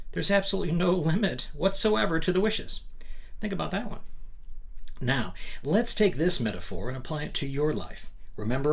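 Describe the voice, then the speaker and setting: A man's voice: fairly high pitch, creaky. A man hosting a motivational book reading, emphatic and instructive, with conversational asides and rhetorical questions. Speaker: host reading a book aloud.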